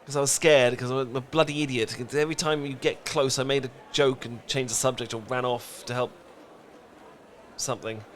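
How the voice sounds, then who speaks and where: A British man acting a flustered, emotionally repressed and lovesick best friend in a comedic romantic audio roleplay, stammering and pleading in halting phrases. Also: mid-range voice, fairly even intonation, fairly bright tone, slight background noise, natural voice. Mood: tender, confessional.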